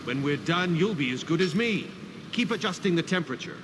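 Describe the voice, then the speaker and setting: An actor playing a hearty, devout warrior smith who gives formal, emphatic encouragement in a video game. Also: male voice, a little high, lively intonation, brisk, close to an everyday voice. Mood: urging. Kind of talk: video game character dialogue. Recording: clear background noise of a game scene.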